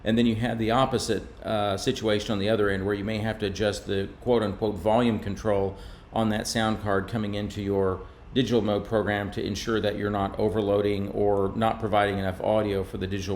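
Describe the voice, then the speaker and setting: A man giving an explanatory technical slide presentation in a measured, informal way. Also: lowish voice, flat delivery, darkish tone, a little hiss or hum. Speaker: presenter from a radio maker.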